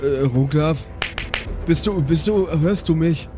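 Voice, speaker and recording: A man talking casually and haltingly as a player in a tabletop game, thinking aloud. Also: on the high side, over music. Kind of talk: casual improvised table talk. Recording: clear background noise.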